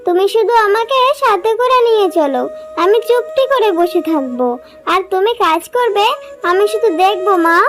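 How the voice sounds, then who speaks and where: Very high, sweet little jackal girl. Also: expressive, moderate pace, over music. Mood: pleading, wistful. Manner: childlike, coaxing, innocent. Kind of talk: children's cartoon dubbing.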